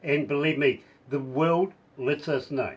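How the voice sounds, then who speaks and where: A man hosting a radio interview, effusive and chatty, laughing often, with a hoarse voice. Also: on the high side, a little slow. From New Zealand. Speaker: radio host.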